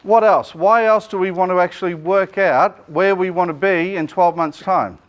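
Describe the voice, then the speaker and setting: Energetic high-voiced Australian male coach. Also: moderate pace, lo-fi sound. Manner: energetic, joking, quizzes the audience. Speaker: business coach presenting a seminar. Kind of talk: lively workshop presentation to a live room. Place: seminar room with an audience. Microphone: no microphone, speaking to the room.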